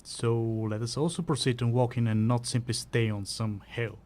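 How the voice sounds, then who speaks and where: A man's voice: mid-range voice, fairly even intonation, moderate pace, darkish tone, lightly acted. A man playing a bossy, bantering co-narrator who follows the hero in a scripted fantasy audio play. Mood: dry.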